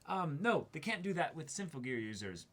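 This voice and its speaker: A man's voice: on the high side, sing-song, brisk, fairly bright tone. A man co-hosting a casual unscripted discussion, talking in a wry, mock-serious way. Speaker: co-host of a reaction show.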